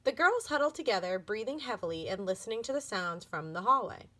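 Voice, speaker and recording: A woman reading a children's book aloud as an expressive storybook narrator. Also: medium pitch, a little fast.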